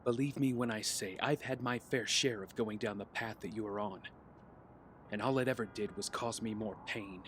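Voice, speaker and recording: An actor playing a righteous, defiant young vigilante hero in an audio drama, earnest, intense and confrontational. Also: male voice, fairly low pitch, moderate pace, fairly bright tone, a little hiss or hum, lightly acted. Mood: grimly determined.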